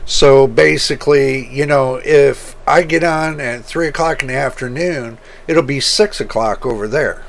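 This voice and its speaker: A man hosting his computer-building channel, talking casually and rambling, with a rough voice that repeats points as he goes. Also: mid-range voice, a little hiss or hum. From United States. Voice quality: rough, gravelly voice. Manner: slow, rambling, repeats himself. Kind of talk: casual talk to camera.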